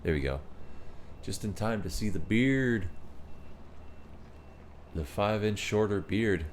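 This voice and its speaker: Deep-voiced man streaming a game. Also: animated, slow, slight background noise. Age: 30s. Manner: chatty, talks to chat viewers, reads their messages aloud. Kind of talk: casual live-stream chat while gaming.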